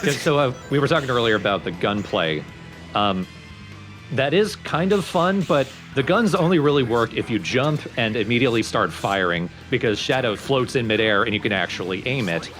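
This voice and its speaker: A man's voice: medium pitch, expressive, normal speed, a bit bright. A man giving casual, explanatory let's play commentary on a video game. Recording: game music behind commentary.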